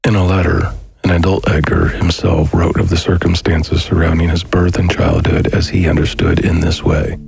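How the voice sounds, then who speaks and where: A man reading a scripted documentary narration in a measured, formal manner. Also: deep voice, creaky, a little mellow. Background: music.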